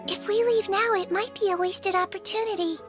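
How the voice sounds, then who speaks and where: A very high, gentle healer girl. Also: fairly even intonation, a little fast, music in the background. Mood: calm. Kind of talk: video game voice acting.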